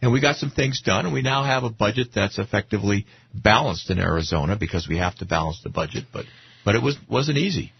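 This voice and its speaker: A man's voice: on the low side, animated, fast, creaky, husky. A man giving his political views in casual discussion on a talk radio show. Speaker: talk radio guest commentator. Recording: dull lo-fi radio audio.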